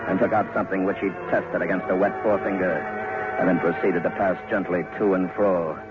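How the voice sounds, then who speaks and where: A man narrating a horror story as host, in a deliberate, ominous and dramatic storytelling manner. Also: low voice, level tone. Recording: muffled, under loud music.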